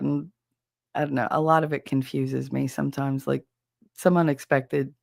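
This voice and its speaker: Stuffy, gravelly New host. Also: medium pitch, no echo, studio quality. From New Jersey. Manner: rambling, chatty, self-deprecating, greets chat viewers. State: stuffy, nasal from a cold. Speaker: livestream host. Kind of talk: casual live-stream chat.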